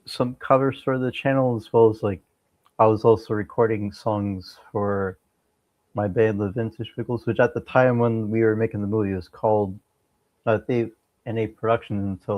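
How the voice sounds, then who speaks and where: Halting, low-voiced man chatting. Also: no room sound, lo-fi sound. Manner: halting, repeats words mid-sentence. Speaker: interview guest, amateur musician. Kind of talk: casual interview chat.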